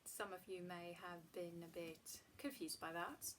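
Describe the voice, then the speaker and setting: Soft-spoken young Swedish woman. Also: fairly low pitch, fairly even intonation, measured pace, a little hiss or hum. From Sweden. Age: 20s. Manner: chatty and soft, trailing into quiet, half-whispered asides. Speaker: vlogger. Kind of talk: casual vlog talk to camera. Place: home hallway.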